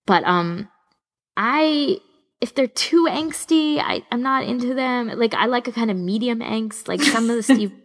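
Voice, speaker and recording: A woman's voice: on the high side, lively intonation, a little slow. A woman co-hosting a podcast, chatting casually and informally with emphatic opinions. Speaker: podcast co-host. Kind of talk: casual fan chat.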